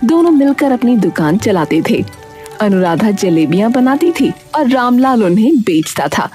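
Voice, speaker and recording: A woman giving steady, scripted narration for a cartoon story. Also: fairly high pitch, normal speed. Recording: faint music behind.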